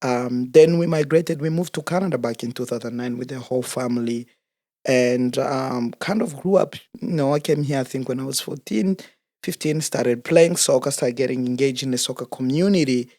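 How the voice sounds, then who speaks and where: A man in his thirties from Congo talks as an interview guest about his coaching work. His voice sits at a middle pitch and comes across close and dry, with no room sound. He speaks earnestly and at an unhurried pace, with some fillers along the way. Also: darkish tone, no echo. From Democratic Republic of the Congo. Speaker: guest, soccer coach and organizer. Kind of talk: casual interview conversation.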